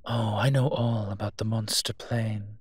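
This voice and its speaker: A man's voice: lowish voice, fairly even intonation, a little slow, close to an everyday voice. A man playing a cocky, menacing monster detective who protects a child in a roleplay audio drama, with taunting threats that drop into breathiness. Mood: calm, warning.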